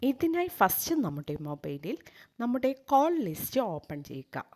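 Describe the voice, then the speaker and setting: Malayali woman with lively, swooping intonation. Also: mid-range voice. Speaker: tech tutorial host. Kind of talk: scripted step-by-step tutorial narration.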